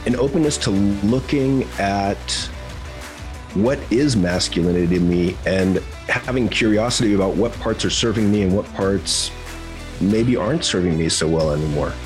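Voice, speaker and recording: A man speaking in a thoughtful, measured way in a short reflective clip. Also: fairly low pitch, soft background music. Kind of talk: reflective sound bite.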